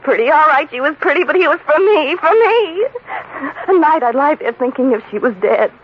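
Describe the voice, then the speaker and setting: Dull old radio recording, high voiced distraught jealous killer woman, intense, repeats herself. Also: expressive, moderate pace, a little hiss or hum. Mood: bitter, obsessive. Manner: intense, insistent, repeating phrases. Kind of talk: 1940s radio acting.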